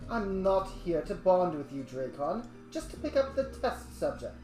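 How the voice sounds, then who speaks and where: Podcast co-host reading parts plainly, low but lively, with a roomy sound. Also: female voice, moderate pace. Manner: explains lines, reads parts plainly. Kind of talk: casual table read. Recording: roomy with faint music.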